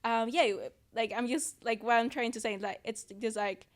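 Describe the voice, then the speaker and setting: A young woman from El Salvador, a native Spanish speaker, chats as a guest on a podcast. Her voice sits a little high. She hesitates often, filling gaps with "like" and "um" and restarting sentences as she goes. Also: steady tone, moderate pace. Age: 20s. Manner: hesitant, many fillers and restarts. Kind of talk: casual interview chat.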